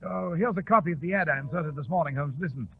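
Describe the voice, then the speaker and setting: An actor playing an earnest, loyal, proper detective's sidekick in a formal, exclamatory 1940s radio drama style. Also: male voice, on the high side, fast talker, gravelly. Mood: tense, expectant. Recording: muffled, noisy 1940s radio recording.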